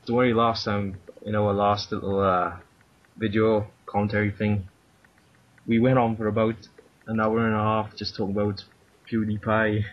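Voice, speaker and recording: A man hosting a casual voice-call chat, chatty and laughing often, closing with thanks and shout-outs. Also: lowish voice, little pitch movement, normal speed. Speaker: channel host. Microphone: computer mic on a voice call. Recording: dull lo-fi voice-call audio with faint noise.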